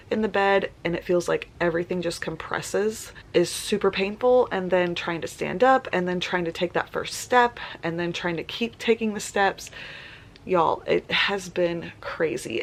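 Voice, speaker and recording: A woman's voice: lowish voice, vocal fry, a little hiss or hum. A woman, chatting casually in a vlog and sounding congested and nasal, laughing often. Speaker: vlogger. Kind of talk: casual pregnancy vlog chat.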